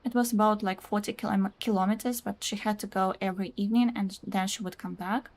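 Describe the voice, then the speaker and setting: A woman's voice: mid-range voice, fairly even intonation, quick pace, darkish tone. A young woman whose first language is Russian, chatting casually while streaming a game, rambling with many "like"s, reading out chat messages and laughing often.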